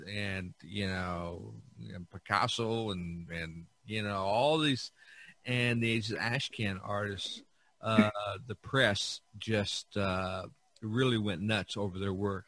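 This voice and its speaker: Low-voiced man hosting an informal discussion. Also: sharp tone, dry recording. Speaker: podcast host.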